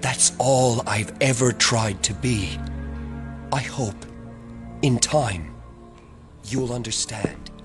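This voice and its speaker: An actor playing a solemn, quietly menacing psychic in an audio drama, speaking in portentous statements. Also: male voice, mid-range voice, takes it slowly, pauses often, music in the background, close to an everyday voice. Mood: earnest, regretful.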